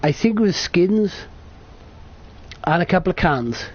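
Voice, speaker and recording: British guy in his 30s, high voice, muffled lo-fi recording, chatty swearing storyteller. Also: expressive, measured pace, slightly rough. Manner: sweary, self-correcting, chatty. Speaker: vlogger. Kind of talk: rambling casual storytelling. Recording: dull lo-fi sound.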